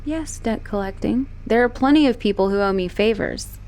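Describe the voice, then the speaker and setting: A woman playing an obsessive, manipulative young woman who escaped an asylum, in a teasing, expressive roleplay monologue spoken straight to the listener. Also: a little high, moderate pace, smooth, lightly acted. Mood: exasperated, scheming. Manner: teasing, possessive, expressive, swinging between sweet and exasperated. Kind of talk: dramatic roleplay audio monologue addressed to the listener.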